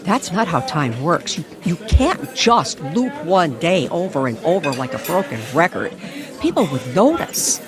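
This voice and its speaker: Woman acting a shaken, sceptical reporter, animated delivery. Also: fairly low pitch, a little slow, over music. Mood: dismissive. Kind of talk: old-time radio drama acting. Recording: crowd chatter behind the voice.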